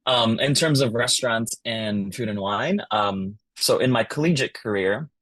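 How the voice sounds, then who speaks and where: A man's voice: mid-range voice, moderate pace, a bit bright, no echo. A man from the Philippines, a wine professional, telling his story in a podcast interview with lots of ums and restarts. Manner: hesitant, lots of ums and uhs. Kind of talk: casual interview answers.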